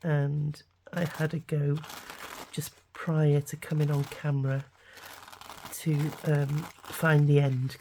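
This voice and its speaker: A woman hosts a homemade crafting video, her voice hoarse and gravelly from a cold. She talks slowly and haltingly, with lots of ums and restarts, and trails into mutters as she works. Her tone is low, dark and flat. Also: slight background noise. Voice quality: gruff, gravelly. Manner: hesitant, many ums and restarts, mutters while working. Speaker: craft channel host. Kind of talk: casual crafting tutorial.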